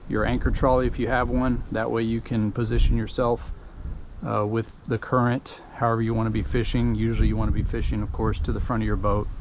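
A middle-aged Texan man talks through a do-it-yourself project in an easygoing, folksy way, full of ums and uhs. His voice sits at an ordinary middle pitch. The recording comes from a camera worn on his head outdoors, with clear noise from the surroundings and passing vehicles behind him. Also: dull audio. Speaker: DIY tutorial host. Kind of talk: casual step-by-step how-to narration. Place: outdoors at a pickup truck tailgate. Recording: noisy outdoor camera audio.

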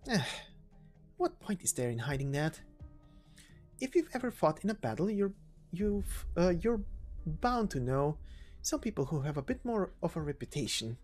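Woman acting a sardonic, low-voiced young mercenary. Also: big pitch swings, moderate pace, pauses often, a little mellow, faint music behind. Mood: evasive. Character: sardonic, prickly young female mercenary, evasive and defiant. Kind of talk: amateur game story voice acting.

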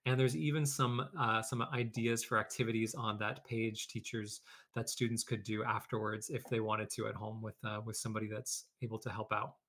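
A man's voice: on the low side, level tone, normal speed, few pauses, a bit bright. A man hosting a children's read-aloud lesson, speaking conversationally as an educator to pupils and teachers. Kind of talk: children's story read-aloud and informal classroom instruction.

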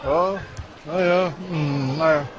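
A man with a regional German dialect giving relaxed, chatty live commentary on a match, with many fillers and short exclamations. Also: a little high, takes it slowly, lo-fi sound. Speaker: sports commentator. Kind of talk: casual live commentary on a cycle-ball match. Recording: noisy sports hall with crowd ambience.

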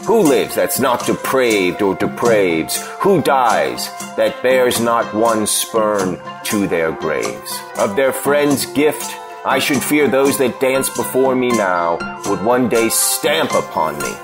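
A man performs a bitter cynic sneering at vanity in a Shakespeare audio play. He declaims slowly and sardonically, with music playing clearly behind him. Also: on the low side, sing-song. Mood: bitter scorn. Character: bitter, scornful male cynic railing at vanity.

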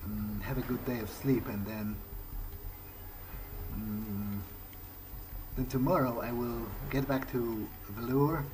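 A man's voice: mid-range voice, steady tone, takes it slowly, long pauses. A man improvising in character as he plays a video game, thinking aloud hesitantly. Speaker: gamer playing in character. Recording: clearly noisy, game ambience underneath. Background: video game ambience.

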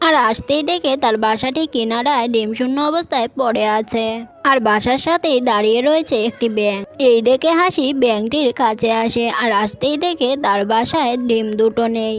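A woman with a high voice narrates a children's animal tale. She reads as a scripted storyteller, and music plays softly behind her.

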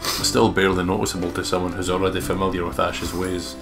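A man's voice: sits low, monotone, quick pace. A man reading visual novel narration as a let's play host, with casual, jokey asides. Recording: music playing behind the voice.

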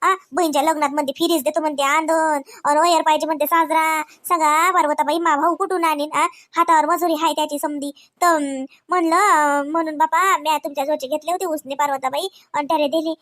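Woman doing a really high worried village lady who owes money, cartoon, plaintive begging, vidarbha accent. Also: fairly even intonation, a little fast, hardly any pauses, fairly bright tone. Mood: explaining, anxious. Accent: Vidarbha Marathi. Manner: wheedling, repetitive, plaintive. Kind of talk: comedy cartoon dialogue in rural dialect.

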